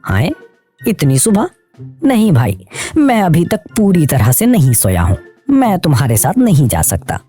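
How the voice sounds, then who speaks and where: A woman's voice: on the low side, wildly swooping pitch, measured pace, warm tone, slightly rough, soft background music, exaggerated voice. A woman voicing a sleepy, lazy male donkey in a children's cartoon, protesting dramatically.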